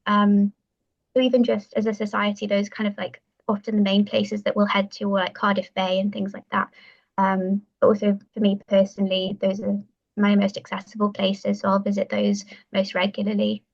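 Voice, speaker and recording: Young woman, podcast guest, chatty with lots of fillers, monotone, dull lo-fi audio. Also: mid-range voice, normal speed, creaky. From Pembrokeshire, West Wales. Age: young adult. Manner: chatty, full of "um", "like" and "kind of". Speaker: guest, university bird society president. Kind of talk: podcast interview.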